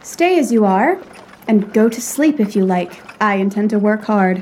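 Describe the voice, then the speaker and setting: A woman's voice: mid-range voice, expressive, normal speed, darkish tone, slight background noise, natural voice, rough recording. A woman playing a proud, frank young lady artist in a period audio drama, with formal, old-fashioned phrasing. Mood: poised, brisk.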